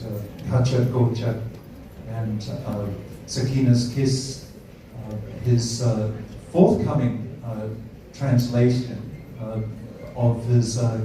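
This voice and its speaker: A man with a New Zealand accent moderating a literary panel, speaking haltingly with many filler sounds. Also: medium pitch. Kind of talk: hesitant spoken introduction and questioning. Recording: echoey hall, distant mic, background noise.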